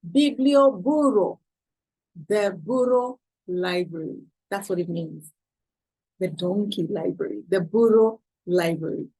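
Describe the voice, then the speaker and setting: A woman tells a children's story in a husky, breathy voice. She takes her time with the phrases and reads expressively, turning to the young listeners as a storyteller does. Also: mid-range voice, rough recording. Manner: expressive, pausing to ask listeners questions. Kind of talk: read-aloud picture book narration for children.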